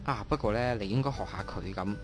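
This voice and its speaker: An actor playing an affectionate young boyfriend in a radio drama, gently teasing in colloquial speech. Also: male voice, on the low side, fast talker, close to an everyday voice. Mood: tender, teasing. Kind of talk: Cantonese online radio drama acting. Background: music behind the voice.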